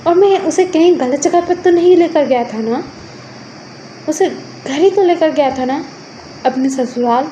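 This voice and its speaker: Woman storyteller doing a pleading apologetic nephew, high mellow voice, defending himself. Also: unhurried, muffled recording, a little hiss or hum. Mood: defensive, earnest. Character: younger male relative, earnest, apologetic, pleading nephew.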